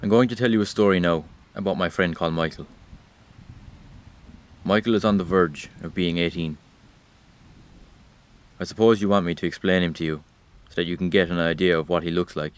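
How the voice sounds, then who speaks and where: A man's voice: low-pitched, smooth, dry recording, natural voice. A man playing Rain as a weary observer of humanity, addressing the listener directly in a wry, reproachful audio drama monologue.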